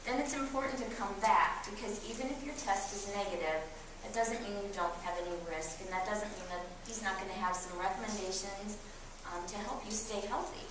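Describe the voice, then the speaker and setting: A woman's voice: medium pitch, brisk. Woman lecturing in a very echoey room. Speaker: cancer genetics clinic staff member giving a lecture. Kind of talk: informal public medical lecture. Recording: very echoey room, distant mic, background noise.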